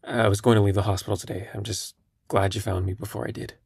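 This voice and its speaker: A man playing a terminally ill young patient in an intimate audio drama, speaking in a husky, creaky voice with a halting, understated manner. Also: on the low side, little pitch movement, no echo. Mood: numb, resigned. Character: young terminally ill male hospital patient, numb, gently self-deprecating.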